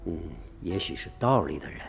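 Man doing a careful haggling antiques dealer, lowish voice, kinda wheedling, faint music behind. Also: expressive, fairly quick, gravelly. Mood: wary. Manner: cautious, bargaining, wheedling. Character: wary, shrewd male antiques dealer, middle-aged. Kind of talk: radio drama acting.

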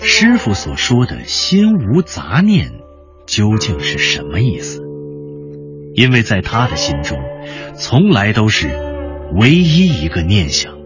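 A man narrating a radio drama in a measured, expressive storytelling style. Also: fairly low pitch. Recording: dull recording under loud music.

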